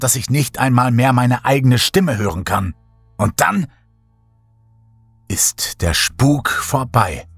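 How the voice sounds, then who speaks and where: A man narrating a horror story as a scripted audiobook, in a measured, dramatic storytelling manner. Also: medium pitch, breathy edge, no room sound.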